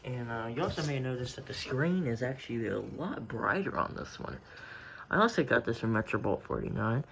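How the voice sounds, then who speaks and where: Male hobbyist, off-the-cuff show-and-tell, rambling delivery with creaky fry, light background noise. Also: medium pitch, lively intonation, fairly quick, fairly bright tone. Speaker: hobbyist collector showing a radio collection. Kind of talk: casual unscripted show-and-tell.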